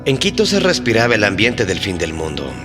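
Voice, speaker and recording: A man narrating a scripted mystery documentary as host, in a measured, formal and dramatic storytelling manner. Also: fairly low pitch, wildly swooping pitch, music in the background.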